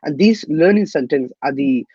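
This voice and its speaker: Young male guest from Myanmar, earnest and halting, somewhat high voice, dull and dry sound. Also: lively intonation, dark tone, husky, no echo. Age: young adult. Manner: earnest, halting, many restarts. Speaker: guest activist. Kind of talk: interview answers.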